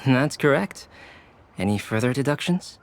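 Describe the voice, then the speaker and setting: A man playing a polite, analytical young scientist in a video game, measured, formal and matter-of-fact. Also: mid-range voice, lively intonation, faint music behind, lightly acted. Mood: composed, explanatory. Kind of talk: video game character acting.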